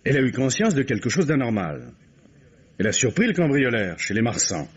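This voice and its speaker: An actor playing a middle-aged count and bereaved husband, hesitant, formal and courteous, in an old radio mystery. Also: male voice, mid-range voice, big pitch swings, a little fast, husky. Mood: defensive, insistent. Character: count, grieving husband, writer, polite suspect being questioned. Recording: dull vintage radio drama recording.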